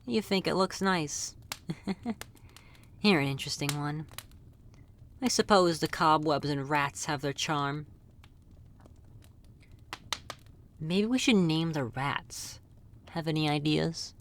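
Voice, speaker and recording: A woman voicing a lonely, centuries-old female vampire in an intimate ASMR roleplay, soft-spoken and confiding, speaking fondly and wistfully to the listener. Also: deep voice, takes it slowly, pauses often, slight background noise. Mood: fond, teasing.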